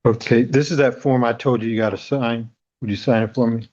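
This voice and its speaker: A man's voice: medium pitch, fairly even intonation, fairly quick, a little mellow. A man speaking as a defense attorney in court, recorded with dull, lo-fi sound. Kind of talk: spoken courtroom advocacy.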